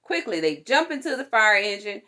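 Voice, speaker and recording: Animated woman reading a children's picture book. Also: medium pitch. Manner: deliberate, animated, with playful sound words and exclamations. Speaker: storytime reader for a fire department. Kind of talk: expressive read-aloud of a children's picture book.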